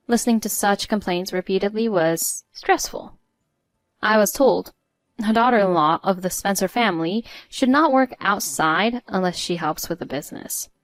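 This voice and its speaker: A woman narrates a scripted personal story at a medium pitch. Her delivery is measured and articulate, and the recording is very dry with no room sound. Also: no echo.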